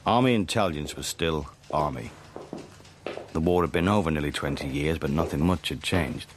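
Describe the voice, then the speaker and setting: Casting: insolent British spy narrator, extremely low voice, sardonic, radio drama over a noisy background. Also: male voice, moderate pace. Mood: dry, sardonic. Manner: deadpan, sardonic. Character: wry, insolent working-class British intelligence agent, narrating.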